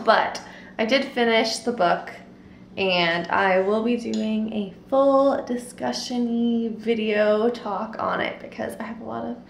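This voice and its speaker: Young woman talking to her camera at home, bit of vocal fry, stuffy and a little sick, rambly chatty vibe, faint hiss behind. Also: a little high. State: stuffy nose and sore throat. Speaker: book vlogger. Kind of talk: casual vlog chat to camera. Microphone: handheld camera held at arm's length.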